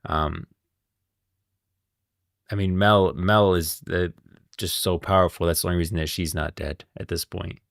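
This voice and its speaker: A man's voice: sits low, fairly even intonation, unhurried, creaky, pauses often, dry recording, clean studio sound. A man streaming casual game lore commentary, rambling and thinking aloud as he goes, often repeating himself. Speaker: streamer, host.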